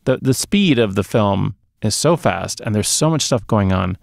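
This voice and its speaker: Gravelly, low-voiced man chatting on mic. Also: expressive, normal speed, no room sound, studio quality. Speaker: movie podcast co-host. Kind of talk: casual opinionated film chat. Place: small dark studio booth. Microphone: close podcast mic on boom arm.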